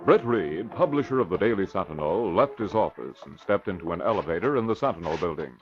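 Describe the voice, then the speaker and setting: A man's voice: low voice, lively intonation, quick pace. Male American announcer for vintage radio crime serial; emphatic delivery, lo-fi sound over music. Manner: emphatic, theatrical. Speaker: radio show announcer and narrator. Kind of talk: dramatic 1940s radio serial narration. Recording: dull old radio recording, music behind.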